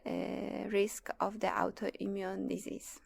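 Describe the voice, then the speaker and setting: Very creaky young Polish-accented woman. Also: mid-range voice, flat delivery, slow pace, no room sound. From Poland. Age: 20s. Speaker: interview guest, PhD student. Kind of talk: conversational interview, personal storytelling.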